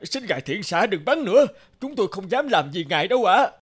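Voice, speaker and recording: Dim giant robber for a cartoon: blustering coward, very animated, raised voice. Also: male voice, sits high, a little fast. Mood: scared, pleading. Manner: blustering, then cringing. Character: dim, cowardly male giant thieves, pleading. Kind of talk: cartoon acting.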